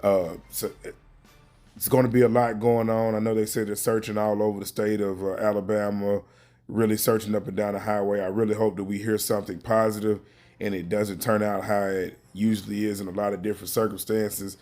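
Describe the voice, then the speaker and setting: A man's voice: fairly low pitch, flat delivery, a little fast, darkish tone. A man giving informal, earnest news commentary as a host, speaking emphatically and repeating words to drive his point home.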